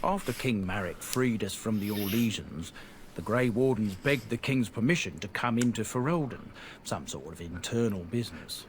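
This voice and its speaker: An actor playing an earnest, deferential middle-aged trader in a video game, rambling along in folksy, turned-around phrases. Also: male voice, fairly low pitch, expressive, moderate pace, a little hiss or hum, lightly acted. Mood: earnest storytelling. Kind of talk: video game dialogue acting.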